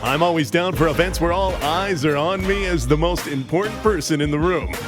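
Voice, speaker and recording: Man doing a smug, higher-pitched cartoon show-off. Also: fairly quick, music in the background, lightly acted. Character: vain, cocky, self-important cartoon show-off. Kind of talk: animated comedy voice acting.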